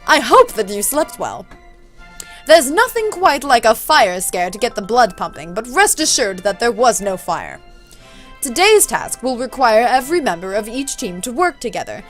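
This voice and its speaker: A woman's voice: on the high side, big pitch swings, normal speed, a bit bright, soft background music. A woman voicing a theatrical male host in a phantom costume, speaking in a grand, formal way in an amateur story reading. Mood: grandly theatrical. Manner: grand, formal, dramatic delivery.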